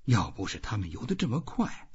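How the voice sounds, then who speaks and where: A man's voice: fairly low pitch, big pitch swings, a little fast, slightly rough, exaggerated voice. A man voicing a solitary old fisherman musing to himself in a storybook reading. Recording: dull, muffled lo-fi audio.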